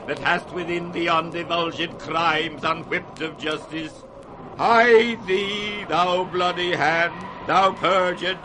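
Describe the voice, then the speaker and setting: An old British king losing his mind in a radio tragedy. His high voice moves very slowly and grandly, each phrase weighty. The old broadcast recording carries clear noise behind him. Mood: raging, commanding. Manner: grand, declamatory, slow and weighty. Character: aging tormented king raging at a storm, sliding into madness. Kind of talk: 1960s British radio Shakespeare acting. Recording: noisy 1960s radio recording, storm-like rumble.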